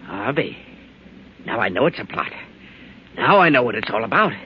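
An actor playing a paranoid, unstable hard-drinking husband in old-time radio drama, agitated and accusing. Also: male voice, mid-range voice, sing-song, normal speed, natural voice. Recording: noisy, muffled old radio recording.

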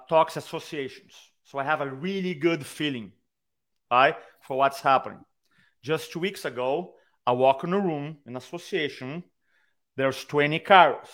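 A man with a Brazilian accent, a speaking coach telling stories in a podcast interview over a video call. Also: a little high. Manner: animated storyteller, acts out quotes. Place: his own room on a video call.

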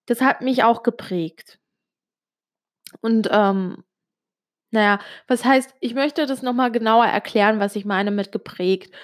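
Confiding young woman, slightly high voice. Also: a little slow. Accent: Italian-Swiss German speaker with little Swiss accent. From Switzerland. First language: Italian. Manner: confiding, addresses the listener directly, frequent fillers. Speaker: vlogger. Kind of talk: personal storytelling to camera.

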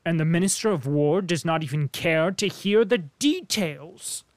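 A man reading the part of an earnest, proud young officer, speaking in a measured way. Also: on the high side, lively intonation, dry recording, studio quality.

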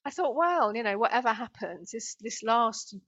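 A British woman of fifty, chatting warmly and animatedly as a guest about her spiritual work, over slightly dull audio. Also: a little high, expressive, moderate pace. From Great Britain. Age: 50s. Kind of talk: casual conversational interview.

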